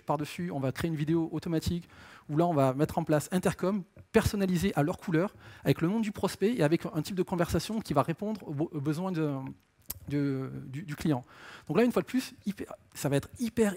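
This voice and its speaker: A man's voice: on the high side, warm tone. A man giving a business conference presentation as a sales director, explaining step by step and mixing in English business jargon.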